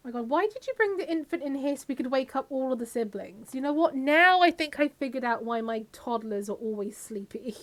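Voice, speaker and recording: Higher-pitched woman streamer with a cold. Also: animated, a little fast, hardly any pauses. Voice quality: slightly scratchy from a cold. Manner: chatty, talks to viewers and game characters. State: has a cold. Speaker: game streamer. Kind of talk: casual live-stream game commentary.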